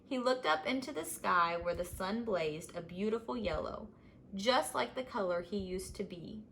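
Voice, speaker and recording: A woman reading a children's story aloud as scripted, expressive narration. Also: mid-range voice, normal speed, slight background noise, low-quality recording. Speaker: storybook reader.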